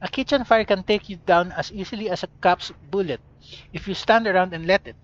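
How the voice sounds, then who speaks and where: A man's voice: high voice, brisk, lightly acted. Gobbet, a blunt mercenary woman, read by a man. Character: blunt, reckless woman mercenary, shrugging and unbothered. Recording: dull lo-fi recording.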